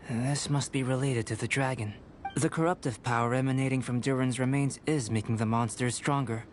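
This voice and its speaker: A man voicing a calm, aloof young male alchemist in a video game, with a measured, formal delivery. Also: mid-range voice, close to an everyday voice. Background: game soundtrack.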